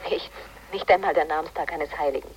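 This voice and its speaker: A woman's voice: low voice, fast talker, a little hiss or hum, natural voice, low-quality recording. An actress playing an earnest, diligent female police colleague in a German radio play, matter-of-fact and eager to explain. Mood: brisk, attentive.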